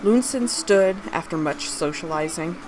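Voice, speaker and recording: Lower-pitched woman reading fiction, music behind. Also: expressive, measured pace, rough recording. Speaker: author reading own fantasy story aloud. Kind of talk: scripted story narration with character dialogue.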